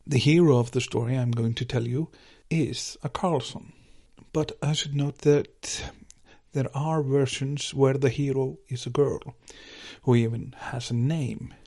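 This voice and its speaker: An Icelandic man talks through folklore in a scripted, explanatory way. His voice is husky and sits at a middling pitch. He paces his points with care and lets a faint wry humour through. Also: fairly even intonation, unhurried, dark tone. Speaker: folklore podcast host.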